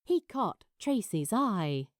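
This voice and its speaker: A woman narrating fiction from a script in a measured, expressive storytelling manner. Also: medium pitch, dry recording, clean studio sound. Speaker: amateur audiobook narrator.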